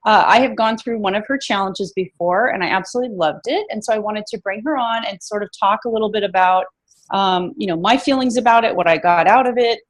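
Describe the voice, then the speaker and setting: A woman's voice: medium pitch, brisk. A woman hosting a casual interview, chatty and full of fillers, with a slightly froggy voice. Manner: chatty, many fillers and restarts. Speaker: host.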